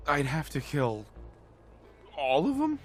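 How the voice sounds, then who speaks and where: An actor playing a guarded, reluctant new raider boss in a video game, terse and questioning. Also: male voice, on the high side, wildly swooping pitch, slow, soft background music, heavily acted. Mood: wary, doubtful. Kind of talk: video game character dialogue.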